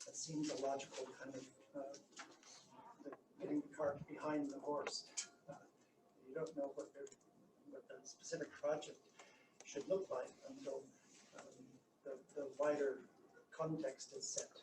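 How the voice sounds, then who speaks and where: Man, new zealand accent, soft-spoken, hesitant, slightly high voice, echoey chamber, distant mic, background noise. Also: level tone. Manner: soft-spoken, halting with many fillers and restarts. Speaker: submitter at council hearing. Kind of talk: formal public submission. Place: council chamber.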